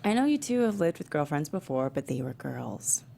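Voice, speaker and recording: A woman's voice: deep voice, very animated, moderate pace, vocal fry, mellow, a little hiss or hum, heavily acted. An actress playing a playful, teasing woman in her twenties in a script table read. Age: 20s. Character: playful young woman, a teacher and lover.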